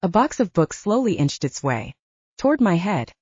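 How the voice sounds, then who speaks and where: A woman's voice: lowish voice, big pitch swings, normal speed, a little mellow, breathy edge, dry recording, highs cut off. A woman reading scripted first-person fiction as an audiobook narrator.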